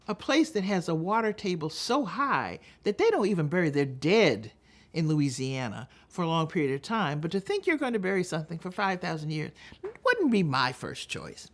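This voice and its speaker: An older American woman from Texas giving a storytelling advocacy talk, speaking deliberately and emphatically with dramatic pauses. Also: fairly low pitch, fairly bright tone. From Texas, United States. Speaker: public speaker.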